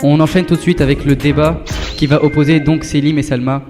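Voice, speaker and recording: A man's voice: fairly high pitch, fairly even intonation, a little fast, in a large hall, over music. A man hosting a school web radio show, reading his links politely and thanking each guest.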